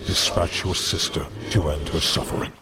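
A man's voice: fairly even intonation, normal speed, background noise. A man voicing a cold, commanding elder who delivers harsh verdicts. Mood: menacing. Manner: booming, commanding.

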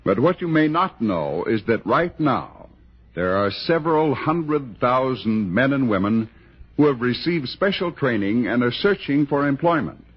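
1960s American radio announcer, male, lively scripted delivery with a slightly rough edge, dull old broadcast sound. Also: medium pitch, a little slow.

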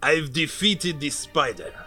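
A boastful big-game hunter villain, acted by a man at a script table read. His high voice is forceful and menacing, speaking slowly and grandly over faint music. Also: full character voice.

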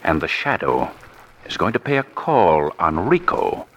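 A man's voice: deep voice, very animated, measured pace, fairly bright tone, heavily acted. A man playing an urbane, confident detective and crime-fighter in a 1940s radio drama, assured and dryly challenging. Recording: noisy 1940s radio broadcast.

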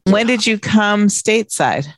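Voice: mid-range voice, animated, fairly bright tone. An interview host asking questions in a teasing, joking way. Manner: teasing, quick with jokes. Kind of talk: casual interview.

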